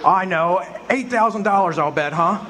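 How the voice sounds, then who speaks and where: A man's voice: high-pitched, normal speed. A man acting in a live community radio play, voicing an overjoyed, breathless husband and father. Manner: excited, breathless joy. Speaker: actor playing George Bailey.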